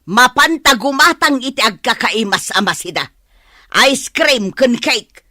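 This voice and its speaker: Spiteful Ilocano village matriarch for radio comedy: sharp-toned, dry sound, aggrieved and pushy. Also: female voice, a little high, lively intonation, a little slow, no echo. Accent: Ilocano, northern Philippines. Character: spiteful, grudge-holding older village mother. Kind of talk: radio comedy drama acting.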